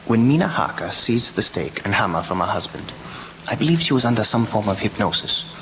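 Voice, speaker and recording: An actor playing a grave witness giving a solemn report in measured, formal radio drama narration. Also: male voice, fairly low pitch, sing-song. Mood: solemn, awed. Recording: clear background noise.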